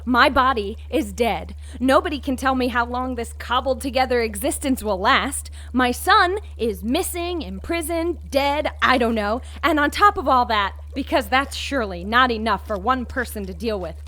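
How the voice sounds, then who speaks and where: A high-pitched actress as a reanimated mother in an audio drama. She is a proud, anguished undead woman with a monstrous hunger. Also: lively intonation, normal speed, few pauses, bright, forceful tone. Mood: bitter, anguished.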